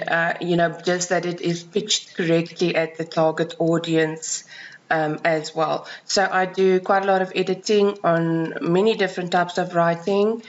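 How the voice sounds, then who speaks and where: A South African woman, a native Afrikaans speaker, calmly describing her freelance writing and editing work, with frequent ums and restarts. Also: lowish voice, dull audio. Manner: measured, many ums and restarts. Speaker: freelance writer and editor. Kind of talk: self-introduction to clients.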